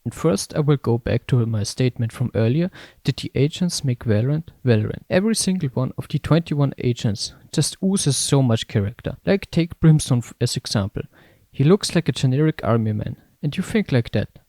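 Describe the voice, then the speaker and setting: Mellow, slightly deep man narrating. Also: animated, normal speed, no room sound. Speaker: video essayist and game reviewer. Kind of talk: scripted narration.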